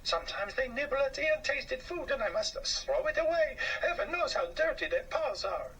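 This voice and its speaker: Man as complaining storybook housewife, French, indignant, fussy, very high-pitched, slightly distant. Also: lively intonation, normal speed, fairly bright tone, slightly rough, full character voice. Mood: disgusted, complaining. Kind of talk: children's storybook character voice. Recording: slightly distant mic, faint noise.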